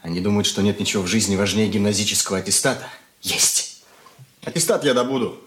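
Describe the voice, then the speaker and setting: Casting: man as defiant, wounded schoolboy, lowish voice, earnest and bitter, Soviet radio play. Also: fast, background noise, lightly acted. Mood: bitter, determined. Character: brooding, wounded, defiant schoolboy son Alexei. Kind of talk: Soviet radio drama acting.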